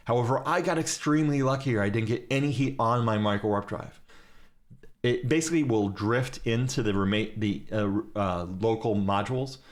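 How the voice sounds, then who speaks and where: A man's voice: mid-range voice, moderate pace. A man teaching a class during a live game, giving step-by-step directions to his fleet over voice chat.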